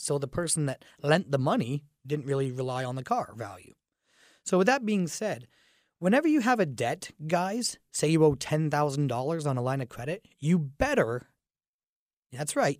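A man with a raspy voice from a cold hosts a casual radio talk show, chatting with fillers and restarts. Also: a little high, a little mellow, no echo, clean studio sound. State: fighting a cold, groggy. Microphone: studio microphone.

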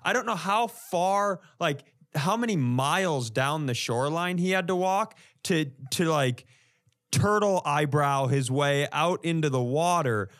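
A man's voice: fairly high pitch, lively intonation, unhurried, fairly bright tone. An American man, a comedian and podcast co-host, telling stories casually and profanely, acting out reactions as he goes.

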